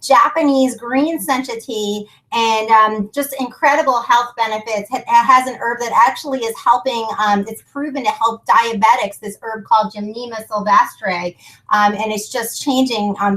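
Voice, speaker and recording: A woman's voice: a little high, moderate pace, fairly bright tone, low-quality recording. A woman hosting a business call, giving an enthusiastic, informal sales presentation built on testimonials. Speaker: business conference call host and product presenter. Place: conference call.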